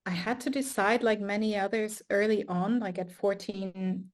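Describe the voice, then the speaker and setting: A woman's voice: medium pitch, fairly even intonation, normal speed. A woman, an astrophysicist and artist, talking through her path in a thoughtful, casual way with frequent restarts, recorded over a video call. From Austria. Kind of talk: casual conversation.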